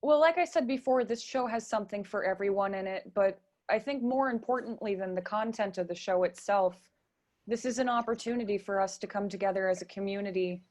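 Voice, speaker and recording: Female interview guest, stage director type: earnest, chatty, conversational, average register. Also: mid-range voice. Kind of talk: casual interview talk.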